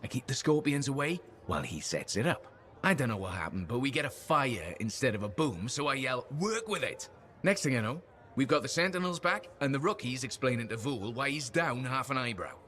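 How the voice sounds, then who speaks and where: A man's voice: mid-range voice, big pitch swings, fairly quick, a bit bright. A man playing an upbeat, chummy freelancer with a storyteller's manner in a sci-fi video game. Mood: storytelling. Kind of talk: video game dialogue acting.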